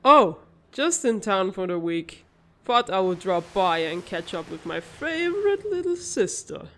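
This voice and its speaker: A woman voicing a wry, level-headed older sister in a comic dub, calmly teasing and gently scolding. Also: mid-range voice, a little slow, a little hiss or hum. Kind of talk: animated comic-dub voice acting.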